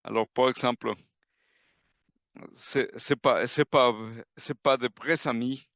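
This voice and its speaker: A man who is not a native speaker giving a grammar report at an online club meeting, halting with many restarts. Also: medium pitch, vocal fry, fairly bright tone, no echo. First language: not French. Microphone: video call.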